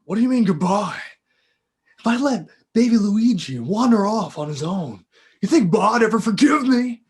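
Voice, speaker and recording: Need a man as a sarcastic, fed-up plumber brother, high-pitched and wildly lively, for an amateur table read. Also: moderate pace. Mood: exasperated. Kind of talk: amateur dramatic script reading.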